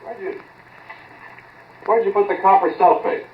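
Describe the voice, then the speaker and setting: A man's voice: high-pitched, measured pace. A male actor playing an impatient, bossy inventor in terse 1940s radio drama style. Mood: preoccupied. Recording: dull, noisy 1940s radio recording.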